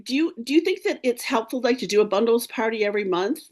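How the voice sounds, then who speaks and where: A woman hosting a team video call, upbeat and encouraging, a little hoarse and clearing her throat often. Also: on the high side, expressive, fast, darkish tone. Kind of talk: casual team meeting talk.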